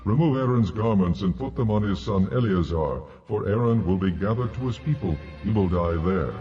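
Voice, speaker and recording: A man voicing a deep, stern God. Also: mellow, dull audio, faint music behind, natural voice. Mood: stern, grave. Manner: solemn, authoritative, measured. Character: God, stern commanding male deity pronouncing judgment. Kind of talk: dramatized audio Bible acting.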